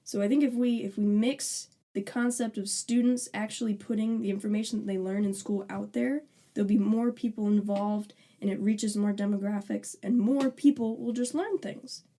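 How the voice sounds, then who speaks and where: A woman's voice: medium pitch, fairly even intonation, normal speed, mellow. A woman in her twenties, a student co-hosting a podcast, chatting casually and joking with a laugh. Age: 20s. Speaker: podcast co-host, alternative medicine student. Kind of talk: casual chat.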